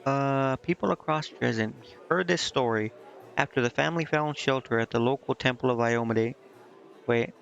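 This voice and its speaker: A man's voice: mid-range voice, moderate pace, gravelly. A man doing casual live game commentary, informally thinking aloud and reading dialogue out as he goes. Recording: dull, band-limited sound with music. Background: game music.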